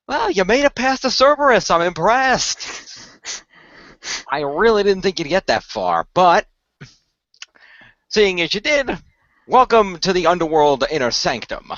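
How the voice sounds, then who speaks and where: A smug god of the underworld, acted by a man for a radio play, holds forth in a high, very animated voice. He is a sarcastic showman who mock-reassures his listeners. The audio is dry and a little muffled. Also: measured pace, pauses often, no echo, full character voice. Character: fast-talking smug male god of the underworld. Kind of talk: hobby radio play acting.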